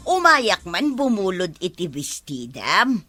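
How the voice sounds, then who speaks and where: A woman's voice: medium pitch, sing-song, slow, bright, forceful tone, soft background music, exaggerated voice. A woman with an Ilocano accent playing a vain, quarrelsome wife who wants to borrow, in a colloquial radio drama. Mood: retorting.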